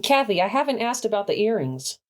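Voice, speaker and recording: An actress playing an eager, huffy teenage daughter with an American accent in an old-time radio sitcom. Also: female voice, mid-range voice, very animated, fairly quick, natural voice. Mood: pleading, eager. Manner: pleading, gushing.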